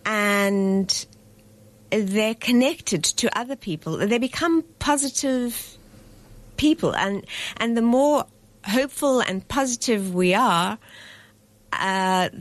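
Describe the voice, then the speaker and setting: A middle-aged woman from South Africa, guest on a radio interview as a festival director. She speaks at an unhurried pace in a middle pitch, measured and articulate, taking care over each word. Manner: measured, articulate, spells words out carefully.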